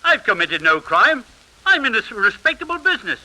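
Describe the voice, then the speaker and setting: Shrill, blustering cornered gangster. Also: male voice, brisk, full character voice. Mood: indignant protest. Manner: excitable, protesting. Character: cornered, blustering male London gangster, slippery and defiant. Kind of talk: 1930s radio acting. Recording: scratchy 1930s radio broadcast.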